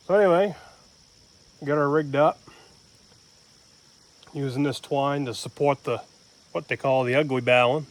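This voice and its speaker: A man's voice: medium pitch, rough recording. A man chatting casually and explaining a hands-on job as he works, with dry, teasing banter. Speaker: amateur radio hobbyist hosting a homestead vlog. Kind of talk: casual chat and narrated how-to while working. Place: outdoors at a rural camp in woods.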